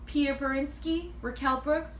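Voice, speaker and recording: A woman reading a storybook voices a shrill, brisk schoolteacher who projects to her class. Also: fairly even intonation, a bit bright. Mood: brisk, welcoming. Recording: roomy, distant mic, faint noise.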